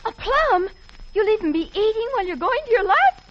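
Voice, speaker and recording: An actress playing an excitable, devoted, naive detective's secretary with fretful exclamations in a 1940s radio drama. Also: female voice, extremely high pitch, a little fast, exaggerated voice. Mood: anxious. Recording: muffled old radio broadcast.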